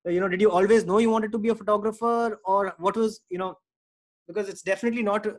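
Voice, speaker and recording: A man's voice: sits high, fairly even intonation, fast talker. A man with an Indian accent hosting a casual conversational interview, chatty and informal, often chiming in. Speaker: podcast host and interviewer.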